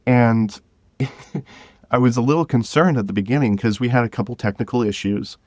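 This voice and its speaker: Male podcast guest, lowish voice, dry close sound, informal and halting, enthusiastic conversational delivery. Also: dry recording. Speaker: podcast guest, drama creator. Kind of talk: casual interview chat.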